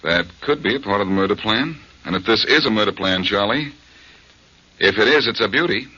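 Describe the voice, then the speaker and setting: An actor playing a breezy, wisecracking detective in a 1940s radio drama. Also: male voice, fairly low pitch, animated, brisk, pushed and bright, husky, full character voice. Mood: earnest. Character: wisecracking adult male detective, cool and confident. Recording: dull old radio recording with faint hiss.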